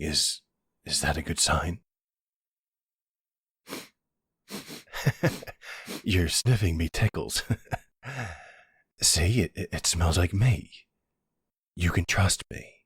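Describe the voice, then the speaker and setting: Guy acting a soothing worried boyfriend for roleplay audio, really deep husky voice, gentle and hesitant. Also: dry recording, clean studio sound, full character voice. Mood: scared, pleading, then soothing, playful. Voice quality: low, husky voice. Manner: slow, hesitant, soothing reassurance.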